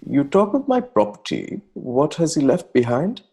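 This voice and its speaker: A man's voice: a little high, sing-song, normal speed, creaky, mellow, husky, heavily acted. A man with an Indian accent playing an effusive, anxious young nineteenth-century Bengali poet, reading his letters aloud in a theatrical, ornate, exclamatory manner. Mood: distressed, urgent.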